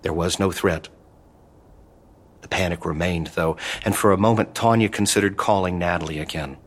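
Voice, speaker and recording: An actor narrating a scripted horror audio drama in a measured, ominous, dramatic way. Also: male voice, deep voice, breathy edge. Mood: ominous, grave.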